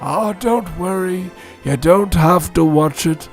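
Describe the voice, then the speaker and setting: A man's voice: sits high, takes it slowly, soft background music. A man voicing a forgetful, pompous old wizard headmaster in a comic audio story. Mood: breezy.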